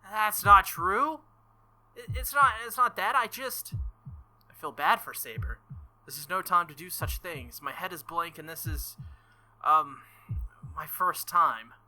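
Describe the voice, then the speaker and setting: A man's voice: high voice, lively intonation, unhurried, bright, forceful tone, exaggerated voice, poor audio. A man voicing a flustered teenage boy hero from a visual novel who stammers in embarrassment.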